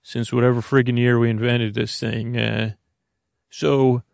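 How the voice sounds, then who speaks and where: Male American podcast host for sleep monologue: creaky, dry studio sound, rambling, hesitant, drifting delivery. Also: mid-range voice, little pitch movement, no room sound. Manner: meandering, halting, keeps trailing off. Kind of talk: rambling bedtime monologue to help listeners sleep.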